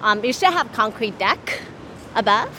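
A woman's voice: a little high, lively intonation, a little slow. A woman urban planner from Taiwan explaining things casually while walking outdoors under a freeway overpass. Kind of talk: interview on a walk. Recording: street recording with traffic noise.

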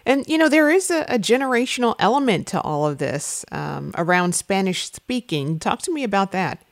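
A woman's voice: medium pitch, very animated, moderate pace, dry recording, clean studio sound. A woman radio host asking curious, probing questions in an interview.

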